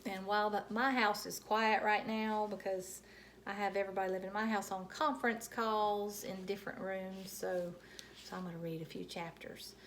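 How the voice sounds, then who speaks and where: A woman reading a children's book aloud as a storyteller, in an animated style, recorded at home. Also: medium pitch, moderate pace, slight background noise. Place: quiet house.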